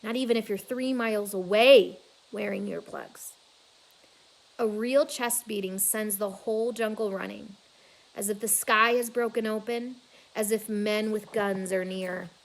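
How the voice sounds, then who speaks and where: A woman reading a children's novel aloud in an expressive, dramatic storytelling manner. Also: mid-range voice, a little slow, vocal fry, poor audio. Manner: dramatic, with emphatic exclamations and hushed asides.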